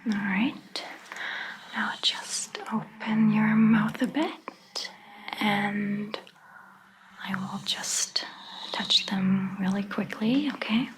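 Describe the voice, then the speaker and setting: A woman whispering gently in an ASMR roleplay as a caring vampire doctor, reassuring and a little hesitant. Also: mid-range voice, steady tone, very slow, long pauses, noisy background. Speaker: ASMR performer.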